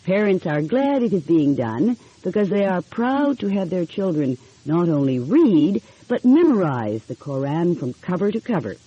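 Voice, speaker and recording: A woman narrating an audiobook in an expressive storytelling style. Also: fairly low pitch, moderate pace, few pauses, husky, no echo. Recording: dull, lo-fi audio.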